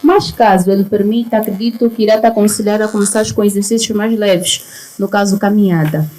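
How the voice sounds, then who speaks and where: A woman with a mid-pitched, mellow voice speaks as a guest trainer on a panel. She gives direct advice in an encouraging, plain-spoken way. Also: fairly even intonation, a little fast, rough recording. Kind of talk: spoken advice to an audience member.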